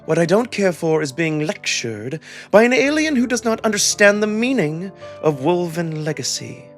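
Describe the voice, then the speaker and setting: A man's voice: sits high, very animated, moderate pace, faint music behind, voice pushed up. A man voicing a haughty, bitter female wolf noble from a visual novel, curt and disdainful. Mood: scornful. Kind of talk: visual novel character reading.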